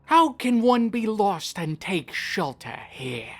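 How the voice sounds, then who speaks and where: A woman's voice: mid-range voice, very animated, slow pace, faint music behind, exaggerated voice. A woman playing a stern, scornful, horned troll-like guard in a fantasy audio drama, impatient with everyone. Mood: stern, suspicious.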